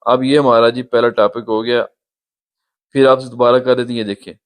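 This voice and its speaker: A man's voice: medium pitch, little pitch movement, fairly quick. A man hosting a step-by-step app tutorial, patiently pointing things out on the screen, recorded on a phone. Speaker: YouTube tutorial host.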